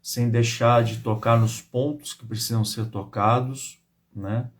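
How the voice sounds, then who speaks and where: A man's voice: medium pitch, fairly even intonation, measured pace. Friendly male live-stream host. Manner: friendly, fills his speech with tag questions. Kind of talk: hosting a live interview.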